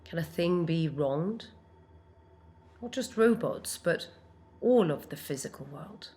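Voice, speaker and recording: A woman's voice: low voice, animated, mellow. A woman playing a defiant, sentient robot heroine in an audio drama, speaking in a dramatic, impassioned way that drops toward whispers. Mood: earnest, pleading. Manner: slow, dramatic, impassioned delivery dropping into whispers. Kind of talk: dramatized audio fiction monologue.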